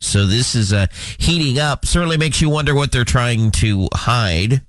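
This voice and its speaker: A man hosting a talk show, giving news updates and introducing a guest, speaking in a measured way with frequent fillers. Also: mid-range voice, animated, dry recording, studio quality. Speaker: host. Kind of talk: talk show commentary and interview.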